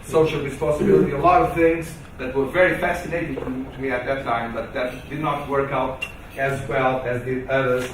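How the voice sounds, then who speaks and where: A man's voice: medium pitch, fairly even intonation, normal speed, few pauses, slight background noise. A man from Portugal with a Portuguese accent gives formal opening remarks at a conference, with many fillers and restarts. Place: large conference hall. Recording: echoey hall, mic from a distance.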